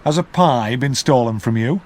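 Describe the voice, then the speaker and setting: A man's voice: mid-range voice, normal speed, a little mellow, lightly acted. A man voicing a stern army sergeant with a clipped, commanding manner.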